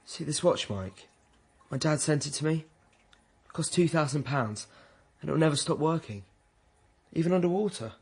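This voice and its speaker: An actor playing a lonely, earnest posh schoolboy in a radio drama, with a husky voice. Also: male voice, on the high side, lively intonation, moderate pace, a little mellow.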